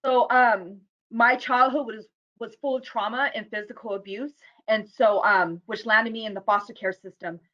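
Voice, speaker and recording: A woman's voice: on the high side, fairly even intonation, moderate pace. An American woman telling her own story candidly and earnestly as an interview guest on a video call. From United States. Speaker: guest speaker. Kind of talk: personal testimony in interview. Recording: dull lo-fi video call audio.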